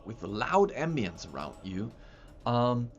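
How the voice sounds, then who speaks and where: A man's voice: medium pitch, very animated, measured pace. A man hosting a livestream, chatting casually in a rambling way with stumbling restarts and repeated words. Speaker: livestream host showing map software. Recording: dull lo-fi sound with faint music.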